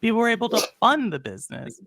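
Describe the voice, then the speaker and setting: High, lively-voiced host, dry close sound. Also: male voice, quick pace, gravelly, no echo. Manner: hesitant, restarts phrases while arguing a point. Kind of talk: casual podcast chat.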